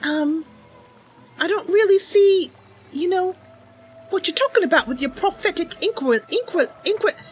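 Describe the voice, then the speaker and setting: A naive young wood elf girl, played by a woman, speaks in a high, girlish lilt over music. She is earnest and excitable, a wide-eyed fantasy game adventurer with a very lively melody to her speech. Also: normal speed, long pauses. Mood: puzzled, innocent.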